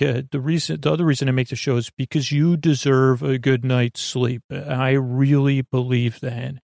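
Male bedtime podcast host: creaky, fry-laden voice, rambling and halting delivery full of digressions, very dry sound. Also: mid-range voice, darkish tone, no echo. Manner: meandering, hesitant, repetitive, digressive.

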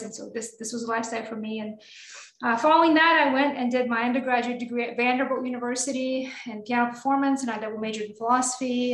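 A higher-pitched woman talks with eager, high energy as a guest on a radio arts program. Her speech runs quick and passionate, with frequent ums. The voice sits in a roomy, echoing space. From Russia. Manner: energetic, enthusiastic, many fillers. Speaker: guest pianist. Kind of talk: radio interview.

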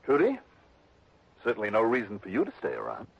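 An actor playing a dry, probing insurance investigator who also narrates, in a 1960s radio drama. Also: male voice, on the low side, wildly swooping pitch, brisk, vocal fry, breathy edge, exaggerated voice. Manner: dry, needling questions. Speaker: narrator and lead detective. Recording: dull lo-fi old radio broadcast.

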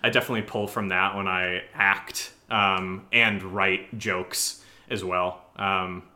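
Male co-host, low register, sharp bright tone, rambling informal chat. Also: steady tone, takes it slowly. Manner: rambling, peppered with "like". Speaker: audio drama writer and voice actor, co-host. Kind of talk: casual Q&A chat.

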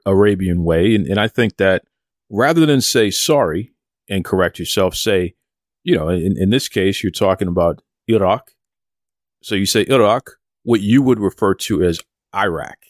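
Male podcast host, lowish voice, dry close recording, encouraging, stumbles on repeats. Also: no room sound. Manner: warm encouraging questioner, repeats words. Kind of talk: interview questions.

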